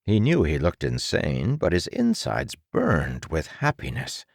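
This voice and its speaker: Deep, gravelly male audiobook narrator. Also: huge pitch swings, no echo, clean studio sound. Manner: deliberate, dramatic storytelling. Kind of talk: scripted dramatic fiction narration.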